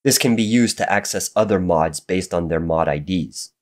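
A man with a very low voice walks through a coding tutorial as a voice-over. A cold leaves him a little raspy and nasal, and he explains each step in a steady, methodical way. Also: moderate pace. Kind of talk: screen-recorded programming tutorial narration.

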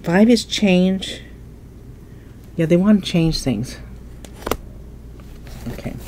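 A woman reading tarot at a table, her voice a little stuffy and set low, with a dark, mellow tone. She muses aloud in a casual way as she lays out cards. A faint hum sits behind her. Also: animated, slow. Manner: musing, thinking aloud while turning cards. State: stuffy nose. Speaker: tarot reader. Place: indoors at a table, hands only in frame.

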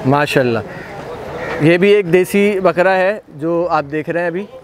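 A man's voice: a little high, unhurried, background noise. Enthusiastic man touring a goat pen. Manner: enthusiastic, repeats praise often. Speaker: livestock video host. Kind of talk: casual on-site walkthrough commentary. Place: outdoor goat pen at a farm.

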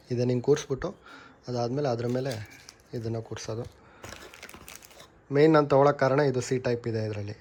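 A young man with a Kannada accent talks through a product unboxing in a relaxed, chatty way. His voice is warm and mellow, pitched at an ordinary male middle. He drops English words into his sentences and corrects himself on the fly. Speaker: motovlogger reviewing a helmet intercom. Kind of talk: casual product review and unboxing.

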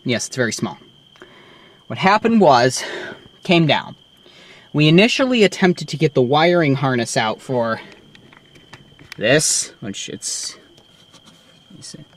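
A man's voice: medium pitch, sing-song, slow, pauses often. A young man casually showing off his car in a vlog, rambling with many ums, filmed on a handheld camera in and around his parked car. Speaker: vlogger. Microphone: handheld camera mic.

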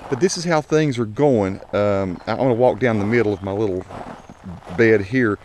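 Low-voiced man, casual garden vlogger. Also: moderate pace, darkish tone. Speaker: home gardener giving a tour. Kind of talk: casual vlog narration. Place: outdoors in a home garden, walking. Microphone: action camera mic. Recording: noisy outdoor action camera audio.

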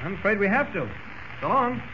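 An actor playing a calm, polite male newspaper reporter in old-time radio drama style. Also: high-pitched, a little fast, exaggerated voice. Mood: calm, courteous. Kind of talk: 1940s radio drama acting. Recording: muffled, noisy old radio recording.

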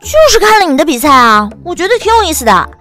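A gushing, nosy young woman, played by an actress in an audiobook drama. Her voice is high and very animated, full of teasing, wheedling exclamations. Music runs softly behind her. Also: fast talker, exaggerated voice. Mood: excited, gushing. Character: bubbly, nosy young married woman, gushing best friend.

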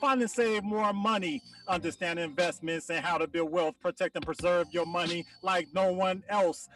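A man's voice: sits high, moderate pace, fairly bright tone. A man hosting a group video call, chatting casually and rapping. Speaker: host and rapper. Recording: distant video-call sound over music.

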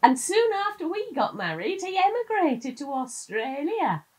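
A British actress playing a chatty middle-aged detective's wife in an audio drama, in natural domestic dialogue. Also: female voice, high voice, very animated, unhurried. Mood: teasing.

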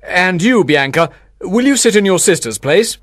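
A woman voicing a dignified, indignant older father with a grave, deliberate delivery in a dramatised audiobook. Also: on the low side, lively intonation, no echo, clean studio sound. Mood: resigned, formal.

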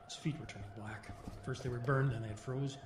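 An actor playing a weathered man solemnly recounting a grim survival tale in halting, folksy, clipped sentences for a radio horror drama. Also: medium pitch, steady tone, close to an everyday voice. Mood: somber. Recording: roomy, clear background noise and ambience.

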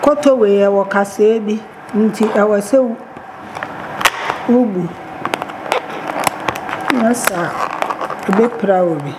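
An older woman from Ghana calmly talks through a home recipe in Twi, taking her time over each step. Her voice is at a middling pitch. Dishes and pans clatter clearly around her in the kitchen. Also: takes it slowly, pauses often, very noisy. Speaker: home cook. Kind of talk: cooking demonstration.

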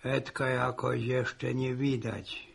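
A man's voice: medium pitch, flat delivery, takes it slowly, full character voice. An actor playing a chatty, wry old country grandfather in a vintage radio serial. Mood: reminiscing. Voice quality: gravelly, husky. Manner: repetitive, interjecting, folksy. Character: chatty old peasant grandfather, wry, reminiscing, gently grumbling. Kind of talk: 1960s radio serial acting. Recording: vintage 1960 radio recording.

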